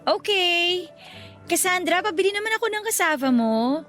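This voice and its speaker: An actress playing a sassy, gossipy female cassava vendor in a Tagalog radio drama, teasing and bantering in an animated, colloquial way. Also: very high-pitched, normal speed, soft background music. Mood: sassy, haggling.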